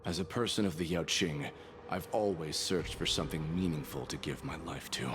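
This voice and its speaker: A man voicing a grim, stoic warrior in a video game, speaking in a serious, measured, blunt way. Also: low voice, noisy background. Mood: grim, calm. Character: grim, stoic male warrior, fatalistic and dutiful. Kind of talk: scripted video game acting. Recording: game music behind the voice.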